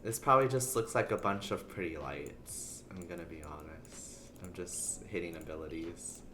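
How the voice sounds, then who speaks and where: Low-pitched voice, rambling casual gamer livestream chat, chatty, light background noise. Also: normal speed. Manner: rambling, chatty, talking to viewers. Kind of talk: casual livestream chat while playing a game. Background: video game sounds.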